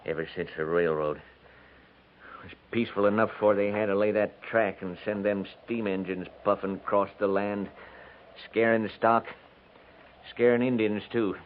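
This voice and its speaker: A noisy, tinny 1950s radio western. An actor voices a homesteader, a man with a very low voice and rustic, folksy speech. He is weary, stubborn and short with words. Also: fairly even intonation, normal speed, close to an everyday voice. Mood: bitter.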